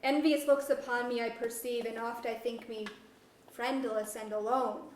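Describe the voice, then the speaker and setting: A proud, embattled monarch of sorcery played by a woman speaks formal archaic verse in a haughty, fairly high voice. The stage reading is picked up from a distance in an echoey auditorium, with faint noise behind. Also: fairly even intonation, moderate pace, natural voice. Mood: brooding. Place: museum auditorium.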